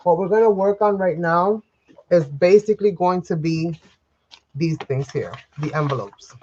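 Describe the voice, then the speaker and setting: A woman's voice: lowish voice, normal speed, a little mellow, slightly rough, lo-fi sound. A woman hosting a live crafting tutorial, chatty and rambling as she talks to viewers, sniffly and under the weather. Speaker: craft livestream host. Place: home craft desk. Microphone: overhead camera livestream, speaker off-frame.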